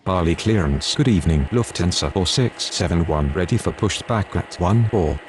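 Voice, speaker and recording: A man's voice: low voice, lively intonation, moderate pace. A man reading clipped air traffic control radio calls while playing a flight control game. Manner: clipped, formulaic radio calls with call signs. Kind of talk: reading aviation radio phraseology.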